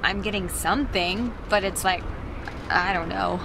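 Timid teenage girl, American, medium pitch, hesitant, apologetic, game dialogue, clear background noise. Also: measured pace. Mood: awkward. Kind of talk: naturalistic video game dialogue. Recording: noisy background ambience.

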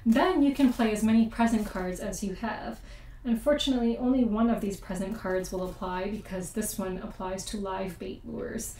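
A woman's voice: medium pitch, warm tone, a little hiss or hum. Young woman explaining a card game, echoey. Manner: chatty and explanatory, often second-guessing the rules. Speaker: board game channel host. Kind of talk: casual board game playthrough. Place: home room at a table. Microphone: desk USB mic with room echo. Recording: echoey room, mic set far off.

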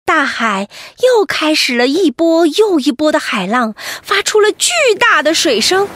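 A woman storyteller narrating an expressive bedtime story for children, animated and speaking to them directly. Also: sits high, a little slow, no room sound.

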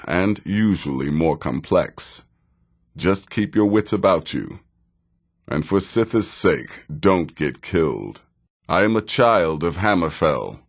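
A sardonic, deadly assassin from a fantasy game, a middle-aged desert warrior. His voice is extremely low and gravelly, with a slow, measured pace. The dry delivery still swings expressively and theatrically. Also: heavily acted. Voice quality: very deep, gravelly. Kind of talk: fantasy video game character dialogue.

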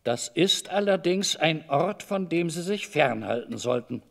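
A man's voice: fairly high pitch, lively intonation, a little slow, vocal fry. A man playing an evasive, overly cordial middle-aged master of a house in a German radio drama, wordy, hesitant and patronizing. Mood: evasive, warning.

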